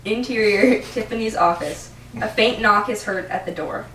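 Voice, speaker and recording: A woman's voice: mid-range voice, moderate pace, fairly bright tone. A young adult woman reading stage directions as the narrator of an amateur script read. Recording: roomy, distant mic, faint noise.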